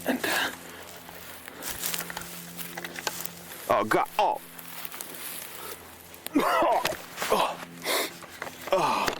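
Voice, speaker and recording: An actor playing a frightened reporter recording himself on a secret night stakeout in a horror audio drama, halting and stammering. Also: male voice, high-pitched, wildly swooping pitch, a bit bright, exaggerated voice. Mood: panicked, disgusted. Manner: halting, stammering, gasping exclamations. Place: outdoors on a farm. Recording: noisy outdoor location recording.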